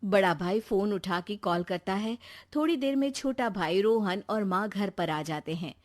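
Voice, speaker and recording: Woman storyteller, middle-pitched, for scripted moral tale narration. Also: unhurried.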